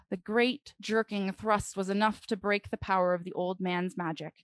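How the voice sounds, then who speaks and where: A woman reading a children's storybook aloud dramatically, with deliberate phrasing and expressive pauses. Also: medium pitch, no room sound.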